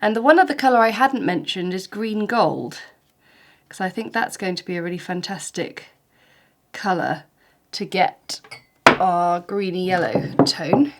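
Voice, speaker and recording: A woman, a little snuffly with hay fever, talking through a watercolour painting tutorial in a gentle, chatty, encouraging way. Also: lowish voice, measured pace, a little hiss or hum. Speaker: watercolour art teacher.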